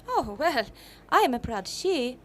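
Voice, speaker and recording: An actress playing a warm, proud feminist mother from Iceland in a radio play, brisk and welcoming as she explains things. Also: high-pitched, sing-song. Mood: brisk, friendly.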